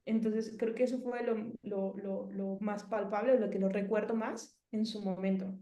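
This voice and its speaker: A woman's voice: mid-range voice, steady tone, normal speed, mellow, roomy sound. Chatty Mexican woman in her thirties. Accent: Mexican Spanish. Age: 30s. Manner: chatty, rambling with many fillers and restarts. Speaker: interviewee. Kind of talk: casual testimonial interview.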